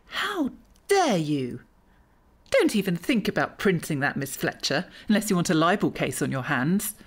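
An actress playing a haughty, indignant museum director in an audio drama, with a cutting way of talking. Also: female voice, fairly low pitch, big pitch swings, moderate pace, husky. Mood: outraged, scornful.

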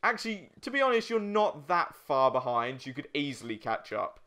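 A young man with a bright, clear-cut voice co-hosts a let's play. He talks casually while he plays, in an informal, sweary way. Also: a little high, very animated, normal speed. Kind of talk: casual chat while playing a video game.

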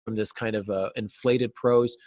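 Middle aged american guy chatting in an interview, lowish voice, close dry sound. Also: steady tone, normal speed, darkish tone, no echo. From United States. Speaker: interview guest, novelist and teacher. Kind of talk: casual interview talk. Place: small cluttered office, indoors. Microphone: video call.

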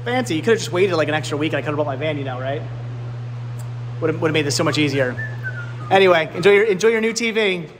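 A man in his thirties hosting a casual vlog, chatting enthusiastically and rambling with halting, repeated words. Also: fairly high pitch, animated, slight background noise, low-quality recording. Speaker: vlog host. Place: large indoor flea market and warehouse loading area. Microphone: handheld camera at arm's length.

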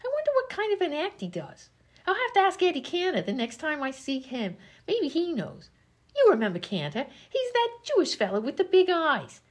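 A woman's voice: high-pitched, huge pitch swings, normal speed. A woman playing a dim, chatty 1920s vaudeville comedienne, slangy and easily indignant, in an old-time radio comedy sketch. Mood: dreamy, chattering. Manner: scatterbrained, slangy, literal-minded.

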